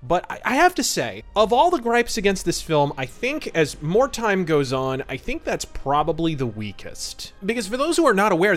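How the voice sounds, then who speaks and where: A man hosting a podcast and giving conversational, detailed film commentary with a wry manner. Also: on the high side. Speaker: podcast host.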